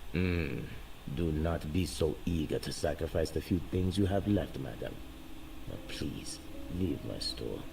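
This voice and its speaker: A man's voice: expressive, gravelly, heavily acted. An actor playing a guarded male voodoo shopkeeper with a put-on Haitian accent, formal and dismissive. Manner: formal, dismissive, slow and low. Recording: distant game audio with noise.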